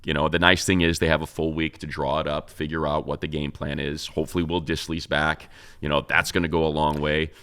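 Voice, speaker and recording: A man's voice: a bass voice, little pitch movement, brisk, fairly bright tone, clean tone. A man co-hosting a sports talk show, giving casual, conversational football analysis and thinking aloud as he goes.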